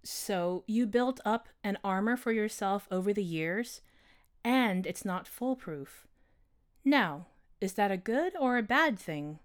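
Brief: wise female owl, high and unhurried, probing gently, voiced by a male narrator. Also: lively intonation, clear, resonant tone. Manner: soft, questioning, unhurried.

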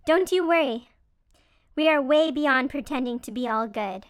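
Man voicing a very high-pitched chattering mother beaver. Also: lively intonation, moderate pace, a bit bright, no echo, studio quality. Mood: eager, curious. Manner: chattering, emphatic, playful. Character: chatty, teasing motherly female beaver with beady eyes.

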